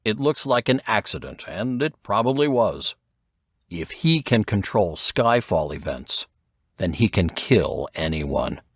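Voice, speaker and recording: A man voicing a grave, assured male investigator who lectures in a measured way, in an audiobook. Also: lowish voice, very animated, no echo, studio quality, exaggerated voice. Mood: grave, somber. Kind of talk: audiobook character dialogue.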